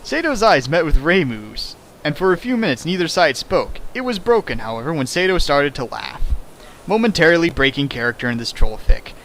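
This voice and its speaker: A man's voice: a little high, sing-song, brisk, fairly bright tone, slight background noise, poor audio. A man reading a fan fiction aloud for laughs, breaking off into sarcastic, mock-outraged commentary. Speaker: reader riffing a fan fiction. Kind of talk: comedic dramatic reading with commentary.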